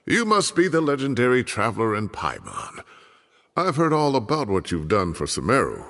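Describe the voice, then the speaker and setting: A genial old retired professor grumbles and muses theatrically. His voice is low and husky with heavy gravel, and it swings very widely in pitch, as in a game cutscene with long reverb. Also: wildly swooping pitch, normal speed, a bit bright, full character voice. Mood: musing, delighted. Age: older. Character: genial, exasperated old retired male scholar. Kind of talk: video game voice acting.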